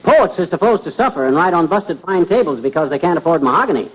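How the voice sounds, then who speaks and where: An actor playing a cocky, know-it-all American husband, boastful and wisecracking, in an old radio comedy. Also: male voice, on the high side, big pitch swings, fast, breathy edge, low-quality recording. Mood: cocky, breezy. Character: blustering, stubborn, know-it-all husband, middle-aged man. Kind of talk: 1950s radio comedy acting. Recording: old radio recording, studio audience.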